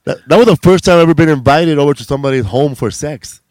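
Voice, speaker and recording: A male comedian hosting a casual road podcast, teasing his guests in a drawn-out, laid-back way and saying "man" and "bro" a lot. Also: on the high side, a little mellow, no room sound.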